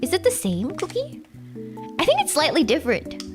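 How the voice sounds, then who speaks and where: A young woman with a Canadian accent chats and rambles on a livestream, talking straight to her viewers. Her voice sits high and leaps around with lively swings in pitch. Soft music plays faintly behind her. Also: moderate pace. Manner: chatty, rambling, addresses viewers as Cookie. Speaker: streamer. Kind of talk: casual chat with chat readers.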